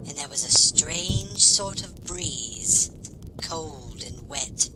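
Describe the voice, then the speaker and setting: Superstitious village gossip woman, loud background noise. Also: a little high, expressive, extremely slow, pitched above the performer's own voice. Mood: eerie, hushed. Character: superstitious village housewife telling eerie ghost tales. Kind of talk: audio drama acting. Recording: loud ambient noise under the voice.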